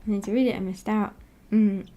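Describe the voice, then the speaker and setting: A woman's voice: medium pitch, fairly even intonation, fairly quick, mellow. A woman chatting casually on a livestream and reading viewer comments aloud in a playful, giggly manner. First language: English. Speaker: streamer hosting a book club. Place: indoor livestream with animated avatar.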